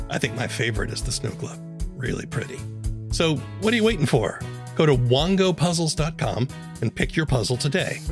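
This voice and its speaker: A man hosting a podcast, reading scripted narration and promos in a presentational style. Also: mid-range voice. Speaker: podcast host. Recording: faint music behind voice.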